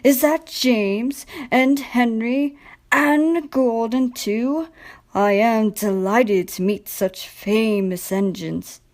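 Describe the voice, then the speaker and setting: A boastful male diesel engine from a children's storybook, acted out by a woman. He is oily and falsely polite, purring his words at a slow, self-satisfied pace. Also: on the high side, darkish tone. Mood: oily, flattering.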